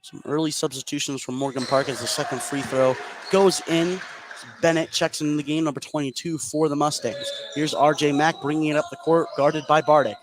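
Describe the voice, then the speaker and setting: A man with a slightly high voice calls a live basketball game as radio play-by-play. He follows the action closely and lifts with excitement on big moments. Clear ambient noise sits behind him. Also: brisk. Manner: rises in excitement on shots and steals. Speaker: play-by-play sports commentator.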